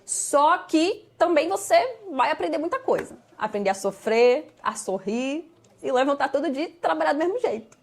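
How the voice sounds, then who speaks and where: A Brazilian woman from Bahia with a smoothed São Paulo accent, a guest telling her life story in an animated, emphatic way that repeats phrases for effect. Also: high-pitched, moderate pace. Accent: Brazilian Portuguese, São Paulo-smoothed. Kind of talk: conversational interview storytelling.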